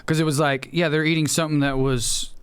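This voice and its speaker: Fast-talking man with a clear, smooth voice. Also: on the high side, level tone. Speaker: podcast host. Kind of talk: casual podcast chat.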